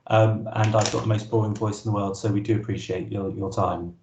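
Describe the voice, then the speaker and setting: A man's voice: lowish voice, level tone, brisk, darkish tone, highs cut off, a little hiss or hum. A man presenting an online workshop, answering questions casually with frequent restarts. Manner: casual, hesitant, many restarts. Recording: roomy echo.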